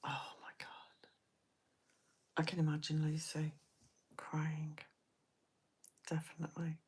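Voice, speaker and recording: A woman hosting a late-night live stream, talking to her viewers in a soft, half-whispered voice. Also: low-pitched, completely flat delivery, takes it slowly, husky.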